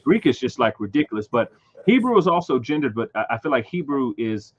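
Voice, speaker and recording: A man in his thirties hosting a casual livestream chat, talking haltingly with many fillers and repeated words. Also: mid-range voice, big pitch swings, lo-fi sound. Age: 30s. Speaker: livestream host.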